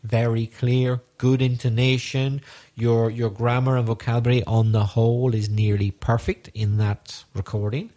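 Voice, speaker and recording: A man's voice: medium pitch, little pitch movement, normal speed, warm tone. A man co-hosting an English-learning podcast, chatting in a friendly, encouraging way. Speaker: podcast co-host, English teacher. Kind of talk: teaching podcast chat.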